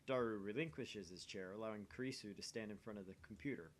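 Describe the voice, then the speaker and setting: A man's voice: fairly low pitch, fast, a little hiss or hum. A man reading narration aloud for a voice-acted game playthrough. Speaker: visual novel let's player reading aloud.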